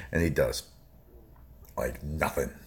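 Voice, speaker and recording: A man with a low baritone voice, hosting a music reaction video and talking casually in a rambling, emphatic way. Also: slow. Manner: rambling and emphatic, many fillers.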